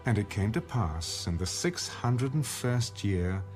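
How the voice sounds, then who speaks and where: A man narrating scripture from a script as an audio Bible, solemn, formal and measured. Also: lowish voice, very animated, darkish tone. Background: music under the narration.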